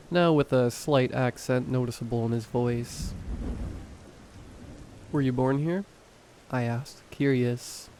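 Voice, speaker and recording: A man narrating horror stories in a calm, measured storytelling voice. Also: mid-range voice, slight background noise, poor audio. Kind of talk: scripted narration.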